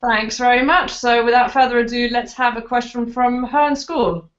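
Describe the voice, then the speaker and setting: A woman's voice: fairly high pitch, fairly even intonation, normal speed. A woman moderating a school video chat, polite and a little hesitant with fillers as she introduces people. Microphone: video call. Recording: dull lo-fi video call audio.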